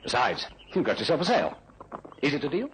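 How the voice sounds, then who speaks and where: An actor playing a scheming, smooth-talking businessman in a radio drama, with a glib, wheedling and condescending manner. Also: mid-range voice, huge pitch swings, quick pace, fairly bright tone, a little hiss or hum, poor audio. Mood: glib, persuasive. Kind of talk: old-style radio drama acting.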